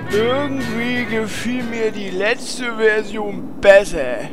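Very high, whiny fantasy hero. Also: male voice, takes it slowly, over loud music. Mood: grumbling. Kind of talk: comic fantasy audio drama.

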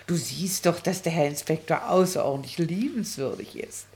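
A woman's voice: low-pitched, animated, normal speed, darkish tone. A woman playing a scatterbrained, courteous old lady in a radio play, chatty and flustered, cooing as she talks. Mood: doting, chatty. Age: older. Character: chatty, scatterbrained, polite old lady doting on her pet. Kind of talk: 1960s German radio play acting. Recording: noisy old radio recording with clicks.

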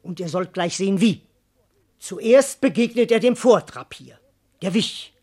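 Here is a servant who is cheeky, boastful and cowardly at heart. His pitch leaps about freely as he declaims classical verse for a radio drama. He is wordy and comically self-important. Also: breathy edge, heavily acted.

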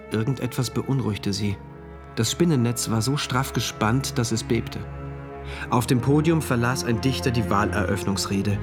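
Male actor doing uneasy diarist guy in a dystopian radio play, solemn measured telling, loud music underneath. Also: on the low side, lightly acted. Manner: measured, literary, solemn recounting. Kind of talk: German radio play narration, diary monologue.

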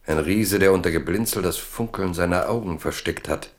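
Deep-voiced male narrator. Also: moderate pace. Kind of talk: dramatic literary narration in a German radio play.

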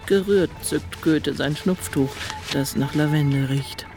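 A narrator in a scripted radio drama, telling a poet's journey in measured, wry, literary phrases. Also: mid-range voice, music in the background, natural voice.

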